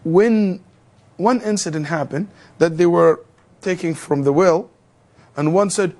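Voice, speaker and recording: A man teaching a theology class, lecturing in an animated way, firing rhetorical questions at students and adding joking asides. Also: high voice, a little slow.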